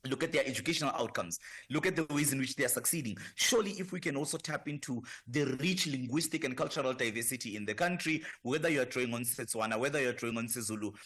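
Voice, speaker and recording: A man's voice: medium pitch, fairly bright tone. A man from South Africa with a South African accent, a professor guest on a radio talk show, speaking with impassioned emphasis in building lists. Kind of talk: radio discussion.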